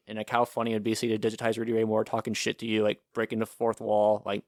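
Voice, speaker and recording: Guy rattling on quick on a podcast, lowish voice, excited and a bit sweary. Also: no echo, clean studio sound. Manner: rambling and enthusiastic, swears casually. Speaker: podcast co-host. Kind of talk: casual chat.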